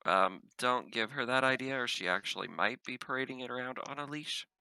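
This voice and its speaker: A man playing a composed, dryly wry female spaceship captain in a measured manner at a tabletop game. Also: mid-range voice, creaky, bright, forceful tone, smooth, no echo.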